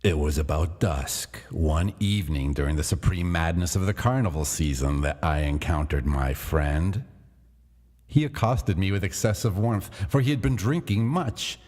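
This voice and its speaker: Man, very deep voice, measured dramatic storytelling, gothic tale, long reverb. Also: a little mellow. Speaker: narrator.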